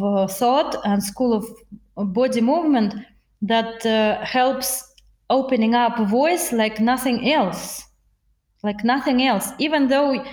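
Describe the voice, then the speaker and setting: Russian-accented woman with a roomy echo. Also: fairly high pitch. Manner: earnest, animated, laughs often. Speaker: voice and accent coach, guest. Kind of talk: podcast interview.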